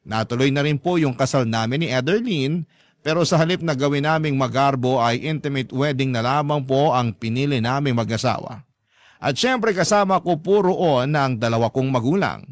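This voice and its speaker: A man's voice: medium pitch, no room sound, close to an everyday voice. Drama narrator male actor, young Filipino letter sender, mid-range voice, earnest and respectful, close dry recording. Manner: polite, sincere. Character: earnest, forgiving young Filipino letter sender telling his family story. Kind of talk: dramatized first-person narration.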